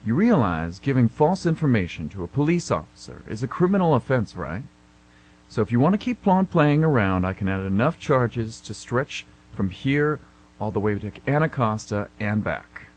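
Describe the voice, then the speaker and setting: A man's voice: mid-range voice, big pitch swings, moderate pace, low-quality recording. A man playing a stern, unimpressed police officer in a radio play, speaking curtly with sarcasm. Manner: curt, unimpressed, warning. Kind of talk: amateur radio play acting. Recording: hum and clear background noise.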